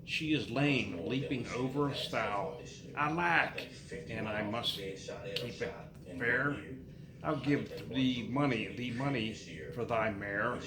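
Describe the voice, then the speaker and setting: A man reading nursery rhymes aloud to his child in a sing-song, unhurried way, pausing and repeating lines. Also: mid-range voice, reverberant, a crowd in the background.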